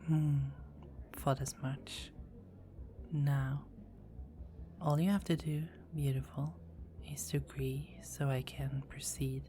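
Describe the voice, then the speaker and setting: A woman's voice: a bass voice, little pitch movement, slow, long pauses, mellow, slight background noise. A woman voicing a seductive, dominant female vampire in an ASMR roleplay, speaking in teasing, close whispers.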